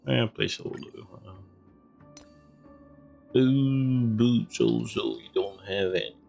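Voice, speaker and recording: A man's voice: on the low side, big pitch swings, takes it slowly, creaky, darkish tone, highs cut off, faint music behind. A man giving casual game stream commentary, muttering to himself in short fragments.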